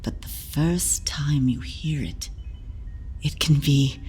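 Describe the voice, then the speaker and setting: A woman playing an elderly matron healer who is blunt, motherly and teasing, and who talks in a slow, dry, scolding way. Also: sits low, dark tone, husky, whispered, soft background music, exaggerated voice. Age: older. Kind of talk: audio drama acting.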